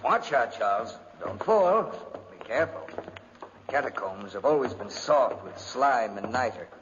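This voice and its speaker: A muffled, reverberant 1950s radio recording carries an imperious, morbid lord. His pitch swings widely as he gives melodramatic orders, and noise runs clearly under the voice. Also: sing-song, measured pace. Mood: brooding. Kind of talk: 1950s radio drama acting.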